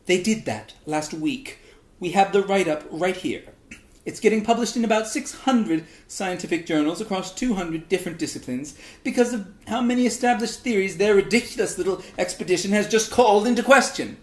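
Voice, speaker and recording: A man's voice: sits high, lively intonation, a little fast, pitched above the performer's own voice. A man voicing an exasperated, wry alien scientist in a dramatic reading, with emphatic, incredulous delivery. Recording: echoey, roomy sound.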